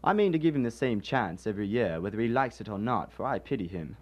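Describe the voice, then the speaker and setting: An actor playing a cheerful, forgiving young nephew in a radio drama, good-humoured and animated. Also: male voice, on the low side, fast talker.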